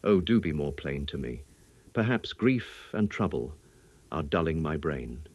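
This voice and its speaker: A man's voice: rumbling low, expressive, measured pace, a little mellow, breathy edge, highs cut off, voice pushed down, heavily acted. A man voicing an anguished, weary, grief-stricken man who pleads in an audiobook. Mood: weary, pleading. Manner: pleading, laboured. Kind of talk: audiobook character acting.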